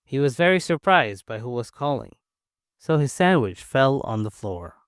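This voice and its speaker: A young man from China with a Chinese accent, whose first language is Mandarin, teaching English in an encouraging, clear and simple way. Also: medium pitch, very animated, moderate pace, dry recording, clean studio sound. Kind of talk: scripted English-learning lesson.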